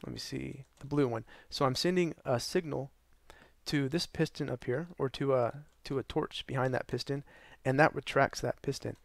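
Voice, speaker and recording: A young man narrating a gaming tutorial while losing his voice, hoarse and tired, rambling with many ums and uhs. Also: fairly even intonation, normal speed, no room sound. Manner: tired, low energy. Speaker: gaming channel host. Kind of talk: casual tutorial narration over gameplay.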